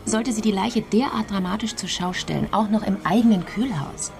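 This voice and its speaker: A woman's voice: mid-range voice, moderate pace, gravelly, lightly acted. Woman acting female investigator, sardonic, probing, coolly analytical, radio drama, music underneath. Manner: ironic, probing, articulate.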